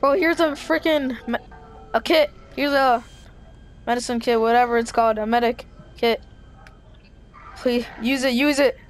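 An excitable, melodramatic young-sounding gamer improvising a zombie apocalypse roleplay over game sounds. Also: mid-range voice, normal speed, long pauses, faint music behind. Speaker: gaming video creator playing a roleplay character.

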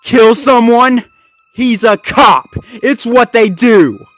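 A man's voice: fairly high pitch, big pitch swings, measured pace, fairly bright tone, no room sound, soft background music, pitched above the performer's own voice. A man voicing a bitter, accusing Labrador pup from a cartoon, confrontational and emphatic in manner. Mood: furious, accusing.

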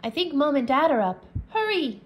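A woman reading aloud and voicing an eager, excited little girl in a children's storybook. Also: normal speed, a little hiss or hum. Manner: high, bright, eager.

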